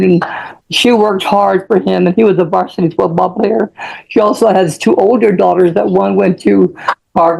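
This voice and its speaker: A woman's voice: mid-range voice, creaky, mellow, slightly rough, poor audio. An older woman giving an emotional, rambling plea as a witness in a courtroom. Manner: rambling, pleading. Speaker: witness, defendant's mother.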